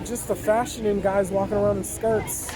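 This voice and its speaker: A man with a notably high voice talks casually in a park, starting sentences over as he thinks them through. A loud crowd surrounds him outdoors. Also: flat delivery, normal speed, background noise. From San Francisco. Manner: hesitant, thoughtful, restarts sentences. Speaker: interviewee. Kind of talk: casual street interview. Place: busy city park outdoors.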